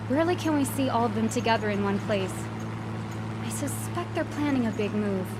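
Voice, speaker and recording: Game heroine acted by a woman: young, protective team leader, high-pitched, serious, very noisy surroundings. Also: lively intonation, a little fast, natural voice. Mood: serious, concerned. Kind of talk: video game cutscene acting. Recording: very loud ambient noise behind.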